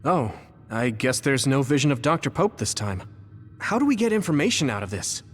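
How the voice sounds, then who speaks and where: Male actor as determined young game hero, animated intonation, medium pitch, music behind. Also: a little fast, big-room sound, natural voice. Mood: focused. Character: earnest, determined young male squad fighter. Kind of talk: English video game dub acting. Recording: background music under the voice.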